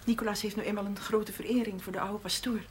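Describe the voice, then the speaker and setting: A woman's voice: medium pitch, fairly even intonation, fast, slight background noise, close to an everyday voice. An actress playing a worried woman in a 1970s television drama, speaking anxiously.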